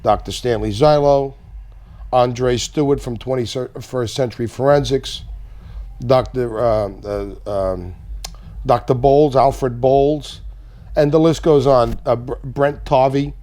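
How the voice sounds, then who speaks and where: A man's voice: mid-range voice, unhurried, dark tone. A man, a talk show guest, earnestly laying out names and details. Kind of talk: casual interview chat.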